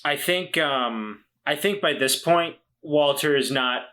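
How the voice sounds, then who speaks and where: A man playing a tabletop roleplaying game, talking casually and haltingly as he thinks aloud. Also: on the high side, sharp tone. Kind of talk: casual improvised game talk. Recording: faint guitar music behind the voice. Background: faint guitar music.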